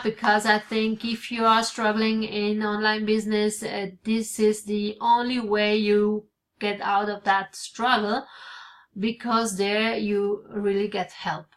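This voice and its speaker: A woman's voice: mid-range voice, flat delivery, slow, fairly bright tone. A woman in her forties, Italian by birth and raised in Switzerland, speaking English with an Italian accent. She talks to a webcam from her home office, hesitant, with many fillers and restarts. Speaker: vlogger. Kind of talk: casual vlog to camera. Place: home office with bookshelves, indoors. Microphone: webcam at desk.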